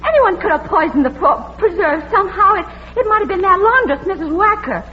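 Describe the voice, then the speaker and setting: An innocent, distressed young sweetheart in an old radio melodrama. Her very high voice is plaintive and flustered. The recording is dull with some hiss. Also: animated, a little fast. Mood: defensive. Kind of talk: vintage radio melodrama acting. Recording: muffled, hissy vintage radio recording.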